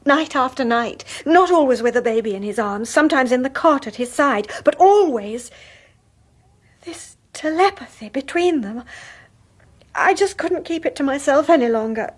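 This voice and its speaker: An actress playing a haunted young mother in a radio horror drama, speaking hesitantly in a hushed, husky voice. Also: high-pitched, animated, moderate pace. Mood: troubled, confiding. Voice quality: breathy, husky. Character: anxious young mother, haunted and unsettled by her husband.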